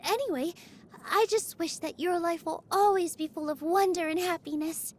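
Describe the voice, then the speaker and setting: High, sweet young dancer. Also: female voice, normal speed, a little hiss or hum. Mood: shy, earnest. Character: sweet, earnest young woman dancer, shy and kind. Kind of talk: video game character voice lines. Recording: music behind the voice.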